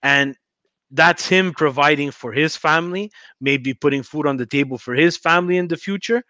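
A man's voice: a little high, normal speed, pushed and bright, no echo. A man in his forties hosting a podcast on a headset, talking in long rambling runs with frequent stutters. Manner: rambling, emphatic, many stutters. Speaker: podcast host. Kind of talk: casual video-call interview. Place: home room indoors.

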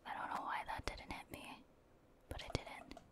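A woman whispering casual, gentle ASMR commentary as she thinks aloud while playing a video game. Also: normal speed. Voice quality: breathy, voiceless whisper. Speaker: gaming ASMR streamer.

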